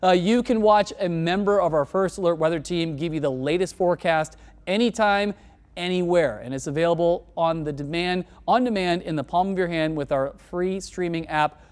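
A man in his thirties presenting a TV weather forecast in a studio, talking in a relaxed, conversational way on a lapel mic. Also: high voice, normal speed, clear, resonant tone. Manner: relaxed, conversational delivery with casual words. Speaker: TV meteorologist. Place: TV studio in front of weather graphics.

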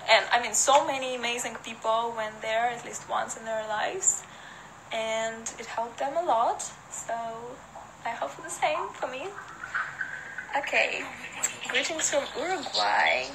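A woman's voice: a little high, a little slow, gravelly, noisy background. A young woman from Russia with a Russian accent, casually chatting with viewers and reading out their comments on a phone livestream from her living room. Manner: relaxed, chatty, laughs often, answers comments one by one. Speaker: model livestreaming to fans. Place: living room of an apartment, indoors. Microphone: phone at arm's length. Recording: phone livestream with music behind. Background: music playing.

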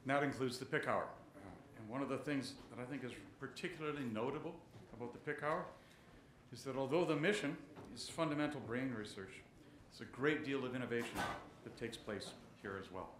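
A man's voice: medium pitch, a little fast, a little hiss or hum. An older man chairing a conference session, introducing speakers from a podium in a genial, big-voiced manner. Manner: genial, loud, says he has a big voice. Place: lecture hall at a podium. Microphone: podium microphone. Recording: roomy hall sound.

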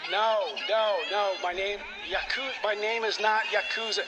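A stranded, panicky slacker acted by a man pleads in a high voice over clear background noise. He rambles anxiously, as in a comedy radio skit message. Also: steady tone, unhurried. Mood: panicky pleading.

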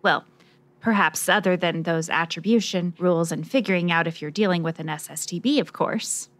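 A woman's voice: on the low side, moderate pace, a bit bright, lightly acted. A woman playing a plucky, skeptical investigative reporter in a scripted noir radio drama, wry and questioning.

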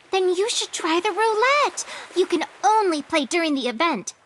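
A very high-pitched, bubbly angel-girl shopkeeper delivers an anime game sales pitch. Her voice is sharp and bright, over noticeable background ambience. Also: lively intonation, moderate pace, slight background noise. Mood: bubbly, salesy. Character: perky angel-girl shopkeeper peddling bargains.

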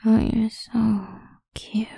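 A woman playing a possessive, seductive goth vampire idol in an intimate, breathy ASMR whisper, close to the microphone. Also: a little high, flat delivery, very slow, mellow, no echo, full character voice. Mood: adoring.

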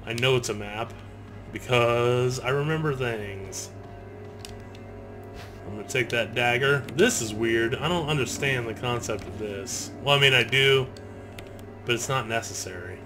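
A man's voice: mid-range voice, a little slow, over music. A thirty-year-old man giving casual gameplay commentary, swearing, griping and poking fun at himself. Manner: sweary, griping, self-deprecating. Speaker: gamer, video host. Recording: clear game ambience noise behind. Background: horror video game sound.

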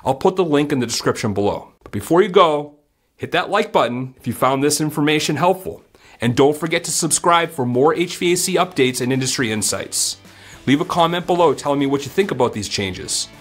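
A man's voice: a little high, animated, fairly quick, faint music behind. A man in his forties hosting a scripted explainer vlog to camera from a home studio, in a punchy, emphatic presenter style. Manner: upbeat, punchy, emphatic presenter delivery with hand gestures. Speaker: HVAC educator and channel host. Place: home studio room, indoors.

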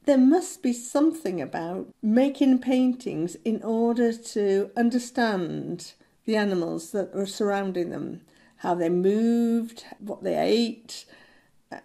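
A woman's voice: mid-range voice, mellow. An older woman giving a chatty, practical painting tutorial from a small room at home. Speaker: art tutor.

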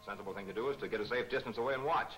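An actor playing a level-headed police lieutenant in an old 1940s film serial. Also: male voice, lowish voice, very fast, slight background noise, natural voice, low-quality recording. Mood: steady. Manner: steady and matter-of-fact, urgent when shouting. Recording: 1940s film soundtrack with music.

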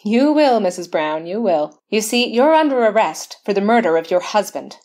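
A woman playing a brisk, shrewd homicide sergeant. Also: medium pitch, very animated, darkish tone, natural voice. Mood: knowing. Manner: matter-of-fact, knowing. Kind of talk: old-time radio mystery acting.

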